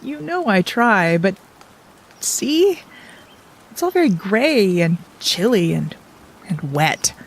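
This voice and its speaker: A woman's voice: mid-range voice, very animated, slow pace, long pauses, slightly rough, full character voice. A woman playing a wry, self-doubting young time traveler in an audio drama, with a wistful, confiding manner. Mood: wry, unimpressed. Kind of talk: audio drama, reflective letter narration and dialogue.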